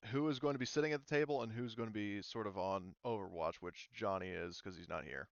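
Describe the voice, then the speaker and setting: A man narrating a tabletop roleplaying game as game master, halting and often correcting himself. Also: lowish voice, gravelly, dry recording, dull audio.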